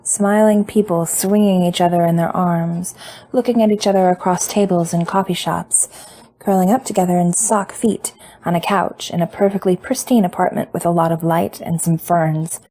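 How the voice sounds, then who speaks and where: Mellow woman narrating an audiobook. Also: on the low side, fairly even intonation, moderate pace, poor audio. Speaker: fan fiction reader.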